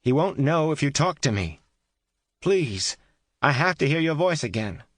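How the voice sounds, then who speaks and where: A man voicing a brave, desperate young woman doctor held captive, shouting and pleading through a wall. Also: a little high, sing-song, measured pace, no room sound, studio quality, voice pushed up.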